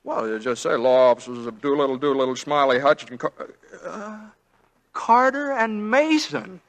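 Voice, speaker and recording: An actor playing an earnest, easily flustered young lawyer and husband in a 1940s radio drama. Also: male voice, on the high side, lively intonation, moderate pace. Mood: bewildered. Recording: vintage 1940s radio, faint noise.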